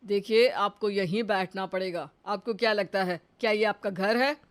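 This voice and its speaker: A woman's voice: mid-range voice, fairly even intonation, normal speed, natural voice. Office boss for comic cartoon, played by a woman: mid-range voice, polite, formal, exasperated. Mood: firm, impatient. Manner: formal, increasingly flustered. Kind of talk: comic cartoon story acting.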